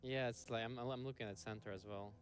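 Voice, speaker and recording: A man's voice: mid-range voice, steady tone, brisk, noisy background. A man casting an esports match who gives blunt opinions, often hesitating and restarting his sentences. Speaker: esports caster. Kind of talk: match commentary. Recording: broadcast feed with clear music. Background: music.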